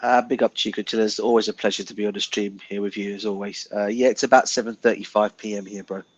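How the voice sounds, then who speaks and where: A man's voice: on the low side, fairly even intonation, quick pace, slightly rough, no room sound, lo-fi sound. A man joining a live stream as a guest panelist, congested from a bad cold, chatting casually and calling people bro and mate. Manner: apologetic, laid-back, calls people bro and mate.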